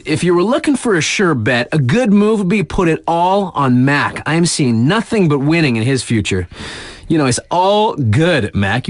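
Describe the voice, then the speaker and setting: A cool, upbeat radio DJ for a snowboarding game. His voice sits a little high and swoops with lively inflection. He delivers news patter in chummy slang, hyping the riders, in a close, dry studio sound. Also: no echo. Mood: laid-back. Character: upbeat, cool male mountain radio DJ, laid-back hype.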